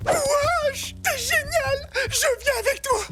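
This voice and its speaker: A performer playing a childish, excitable male cartoon wild boar, emotional and exclaiming in a shrill, whiny way. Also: takes it slowly. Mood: thrilled. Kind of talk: animated cartoon acting.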